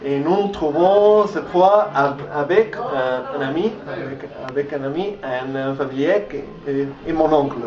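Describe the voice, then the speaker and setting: A man's voice: fairly high pitch, normal speed, hardly any pauses. A young man whose first language is Spanish, interviewing a guest in French and often stopping to restart his words. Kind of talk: casual interview in a learned language. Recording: dull distant echoey recording with crowd noise.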